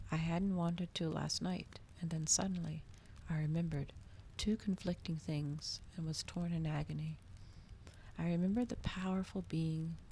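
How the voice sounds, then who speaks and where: A woman reading a novel aloud in a hushed ASMR style, softly and breathily, often slipping toward a whisper. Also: deep voice, creaky, slight background noise.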